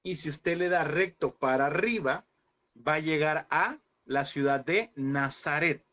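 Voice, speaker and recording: A man teaches a Bible class with a slightly raised pitch. He asks questions, repeats them, and stretches out the important words so his students can follow. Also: sharp tone. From El Salvador. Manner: addresses listeners as brothers, asks and repeats questions; slows and stresses key words.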